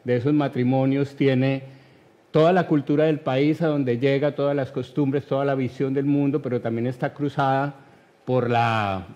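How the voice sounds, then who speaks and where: A man in his fifties with a Colombian accent, answering thoughtfully and haltingly as an interview guest, often adding tag questions. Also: mid-range voice, steady tone, a little mellow, highs cut off. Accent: Colombian, Medellín area. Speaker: guest, writer. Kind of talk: reflective conversational interview answers. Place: TV studio.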